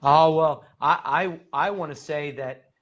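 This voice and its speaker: A man who teaches English hosts the chat, telling stories in a quick, animated way with many fillers. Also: fairly high pitch, muffled recording. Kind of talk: casual storytelling chat.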